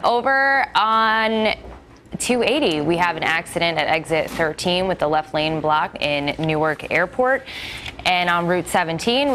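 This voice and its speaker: A woman's voice: low voice, a bit bright. A woman working as a traffic reporter, giving a chatty live TV report over audible background noise.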